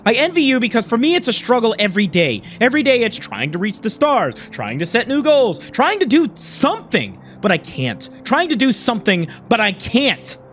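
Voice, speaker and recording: A man's voice: very high voice, huge pitch swings, moderate pace, few pauses, a bit bright, slight background noise, heavily acted. A man playing a bitter, insecure young man in a dramatic story, speaking with intense emotion. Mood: bitter despair. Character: bitter, insecure young human man, angry and self-loathing.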